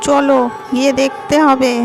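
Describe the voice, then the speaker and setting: A woman voicing a bossy, scolding female ghost in a cartoon ghost story, in a slow, drawn-out manner. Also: sits high, completely flat delivery, close to an everyday voice. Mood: indignant. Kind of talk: animated ghost-story dialogue. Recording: music behind the voice.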